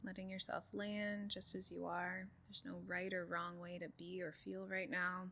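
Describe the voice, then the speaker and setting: A woman leading a guided meditation in a calm, soothing way, giving soft instructions with unhurried pauses. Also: lowish voice, creaky, a little hiss or hum. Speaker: meditation group leader.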